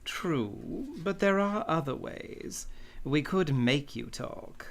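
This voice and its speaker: An actor playing a courteous, cunning older criminal mastermind in an old-time radio drama, with florid, formal speech. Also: male voice, fairly high pitch, lively intonation, slow pace, vocal fry, exaggerated voice. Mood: menacing courtesy. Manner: florid, formal, elaborately polite.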